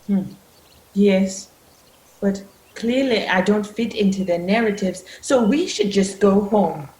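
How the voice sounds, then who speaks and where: Reluctant young village heroine played by a woman: guarded, doubting, unhurried delivery, radio play, echoey room. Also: mid-range voice, expressive, a little mellow. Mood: defensive, discouraged. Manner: defensive, doubting.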